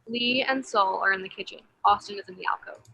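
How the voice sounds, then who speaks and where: A woman's voice: medium pitch, a little fast, creaky, pushed and bright, a little hiss or hum, low-quality recording. A woman narrating, reading out the stage directions of a play as scripted.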